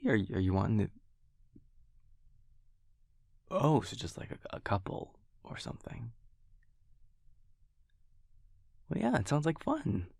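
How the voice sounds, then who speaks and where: Male actor for affectionate, self-deprecating vampire boyfriend in an audio roleplay: unhurried, gravelly, softly teasing, close and dry. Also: no room sound, full character voice. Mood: amused, agreeable. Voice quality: low, gravelly. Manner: hesitant, casual, softly teasing. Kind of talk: intimate audio roleplay, spoken to the listener.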